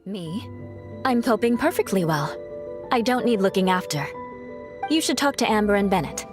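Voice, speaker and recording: A woman's voice: medium pitch, animated, normal speed, lightly acted. An actress playing a proud, self-reliant young noblewoman warrior in a fantasy game, poised, formal and haughty. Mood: self-assured. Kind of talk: fantasy video game dialogue. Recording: game audio with faint music.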